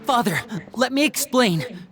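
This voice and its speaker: A woman's voice: a little high, animated, measured pace, soft background music. A woman playing a contrite, earnest young ward in a video game, apologetic and pleading.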